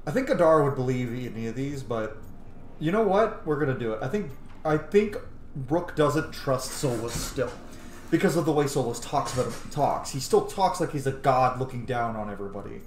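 Male game streamer, lively intonation, casual sweary roleplay commentary, roomy echo. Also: mid-range voice, moderate pace, slight background noise. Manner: sweary, thinks aloud. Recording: echoey room.

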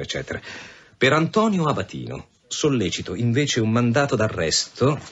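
An actor in an Italian radio drama playing a principled, stubborn police inspector, speaking formally, pointedly and insistently. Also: male voice, medium pitch, breathy edge. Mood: formal, firm. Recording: dull, noisy radio drama recording.

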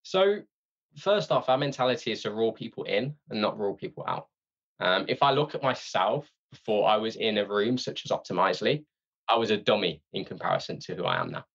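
A man being interviewed on a podcast about his sales training company, talking in an energetic, conversational way and restarting his sentences. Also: lowish voice, fairly quick, slightly rough, muffled recording. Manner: energetic, conversational, repeats words when restarting.

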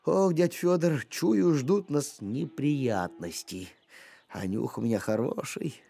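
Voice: mid-range voice, lively intonation, takes it slowly, vocal fry, dark tone, slightly rough, full character voice. An actor voicing a cheeky, easygoing talking farm dog in a cartoon, grumbling and teasing in a plain-spoken way. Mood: uneasy. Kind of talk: animated cartoon voice acting.

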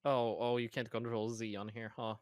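A man's voice: mid-range voice, little pitch movement, moderate pace. Laughing Swedish man gaming. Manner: laughs often, teasing. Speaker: streamer playing a drawing game. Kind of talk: casual gaming chat.

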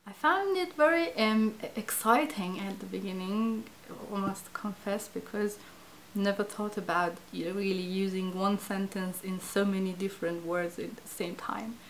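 A woman in her thirties from Iran with a Persian accent, a native Farsi speaker, talking reflectively to camera in a small indoor studio and often pausing to search for words. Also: medium pitch, slight background noise, low-quality recording. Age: 30s. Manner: hesitant, searching for words, many fillers. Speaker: interviewee, language project participant. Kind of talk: reflective interview talk to camera.

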